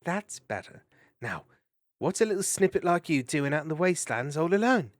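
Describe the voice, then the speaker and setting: A fierce young mousemaid warrior, as a man puts her on, brisk and commanding. Her voice sits well above the reader's own and moves a lot, recorded dry and close for a storybook. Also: fairly high pitch, very animated, no echo, voice pushed up. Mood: stern. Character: brave, hot-tempered young female mouse warrior.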